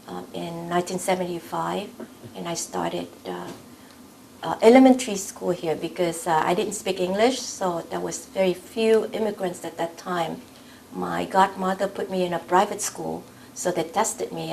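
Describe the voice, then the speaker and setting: Creaky-voiced Vietnamese-accented woman. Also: lowish voice, a little mellow, slight background noise, poor audio. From South Vietnam. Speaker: oral history interviewee. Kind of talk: recounting personal memories in an interview.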